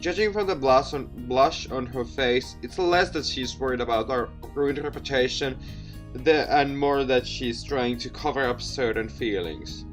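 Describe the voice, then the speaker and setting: A man's voice: mid-range voice, a little slow, soft background music. A man hosting a let's play, reading visual novel text aloud with commentary and often tripping over words and repeating them. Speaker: gaming video host.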